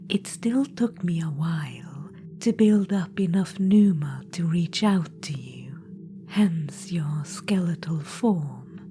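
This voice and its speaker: Scheming undead witch-queen, whispery and slow, low dark tone, patronizing and purring, voiced by a woman. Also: animated, faint music behind, heavily acted. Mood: whispered, scheming. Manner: purring, patronizing, calls listener darling. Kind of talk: ASMR audio roleplay.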